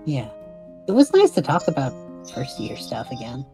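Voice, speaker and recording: A woman's voice: a bass voice, huge pitch swings, normal speed, warm tone, soft background music, full character voice. A woman voicing an easygoing, unworried young male time traveler in a conversational audio drama. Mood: playful, casual. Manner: breezy, reassuring, unworried.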